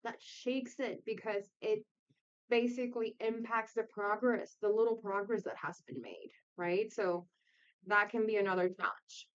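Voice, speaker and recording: A woman's voice: mid-range voice, a little slow, clean tone, highs cut off. A woman from Puerto Rico giving an informal online lecture in a conversational way, often checking in with her listeners. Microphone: video call.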